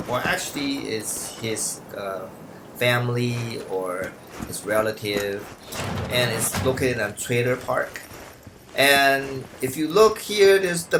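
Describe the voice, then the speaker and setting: Male game guide host, unhurried and halting, explaining as he goes, mid-pitched, some background noise. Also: a bit bright. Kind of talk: unscripted walkthrough commentary.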